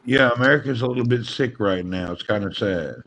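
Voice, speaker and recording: A man's voice: mid-range voice, fairly quick, fairly bright tone, slight background noise. A man hosting a casual group chat on a live stream. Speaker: livestream host.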